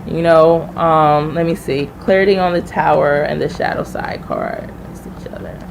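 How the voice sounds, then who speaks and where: A woman's voice: deep voice, moderate pace, vocal fry, background noise. A woman hosting a live tarot reading, chatting informally and familiarly with viewers as she thinks aloud. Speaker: tarot reader hosting a livestream.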